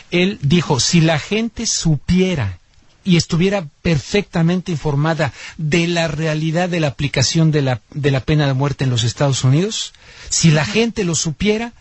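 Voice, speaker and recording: A man's voice: fairly high pitch, moderate pace, breathy edge, no room sound. A man hosting a radio interview, conversational and often jumping in, with filler words. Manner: conversational, interjecting, uses "este". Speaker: radio host. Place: radio studio.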